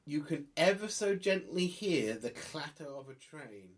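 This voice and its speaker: A man narrating a tabletop horror game as game master, improvising in a conversational, halting way and thinking aloud. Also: on the high side, expressive.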